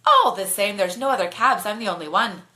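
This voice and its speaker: A woman's voice: mid-range voice, lively intonation, fairly quick, bright, forceful tone. A woman voicing a cocky, mischievous teenage boy who drives a cab, in a bedtime storybook reading, with a teasing, boastful way of talking. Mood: breezy, cocky.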